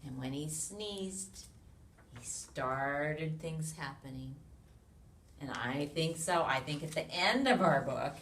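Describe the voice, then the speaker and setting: An American woman reads a picture book aloud to young children in an expressive way, with sound effects, questions and hushed asides to her listeners. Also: deep voice, slow. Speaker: children's read-along reader. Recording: roomy, distant mic with noise.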